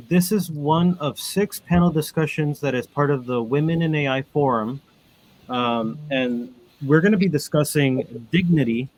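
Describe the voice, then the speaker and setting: A man's voice: mid-range voice, poor audio. A man hosting a webinar panel, reading out guest introductions over a video call with frequent ums and uhs. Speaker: panel moderator.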